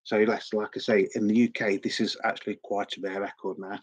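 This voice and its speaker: A man's voice: fairly low pitch, level tone, brisk, breathy edge. A middle-aged man with a sore throat, chatting in a rambling, repetitive way as host of a record collecting vlog. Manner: rambling, chatty, repeats words often. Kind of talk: casual vlog chat.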